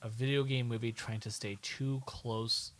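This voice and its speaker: A man's voice: medium pitch, flat delivery, moderate pace, a bit bright. A man co-hosting a podcast, chatting informally and a little jokily about films and games. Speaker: podcast co-host.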